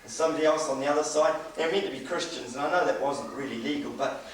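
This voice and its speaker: A man's voice: on the high side, steady tone, fast, distant mic. A middle-aged man, preaching a sermon and telling a personal story with chuckles. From New Zealand. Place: church hall. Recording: echoey hall recorded from afar.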